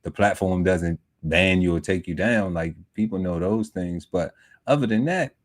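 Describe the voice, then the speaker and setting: A man's voice: deep voice, normal speed. A man in his forties chatting casually on a podcast panel, talking in a loose, laid-back way with many fillers. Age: 40s. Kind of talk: casual chat. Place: home podcast setup.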